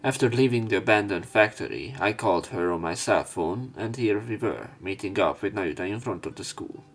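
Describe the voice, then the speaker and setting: A man with a fairly low voice reads a visual novel aloud as a let's play narrator, covering both narration and dialogue. Game music plays faintly behind him. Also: lively intonation, normal speed.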